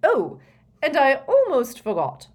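A woman's voice: high voice, huge pitch swings, slow, a little mellow, voice pushed up, full character voice. A woman voicing a flustered, blustering older male wizard minister in a storybook reading, fretful and emphatic. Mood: breezy.

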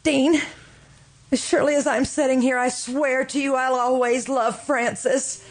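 High-voiced grieving young widow played by an actress. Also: female voice, fairly even intonation, a little slow. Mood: fervent, insistent. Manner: fervent, melodramatic, insistent. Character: grief-stricken, obsessive young widow, pleading and morbid. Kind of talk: old-time radio drama acting.

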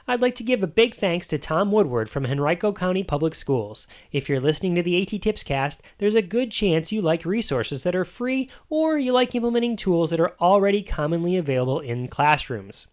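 A man hosting a podcast, reading announcements and sign-off notes while addressing listeners in a friendly, direct way. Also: high voice. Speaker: podcast host.

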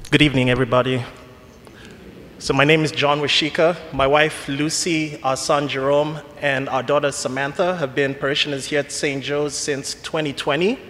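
A man in his forties with a Kenyan accent, giving an earnest, measured reflection to a church congregation. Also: a little high, fairly even intonation, a bit bright, a little hiss or hum. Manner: earnest, measured, slightly self-deprecating. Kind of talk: prepared church talk. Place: church sanctuary. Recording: long church reverb.

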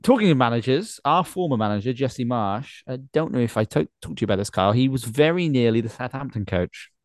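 A man's voice: mid-range voice, expressive, quick pace, dry recording, studio quality. A man hosting a podcast, talking casually through sports discussion. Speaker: podcast host.

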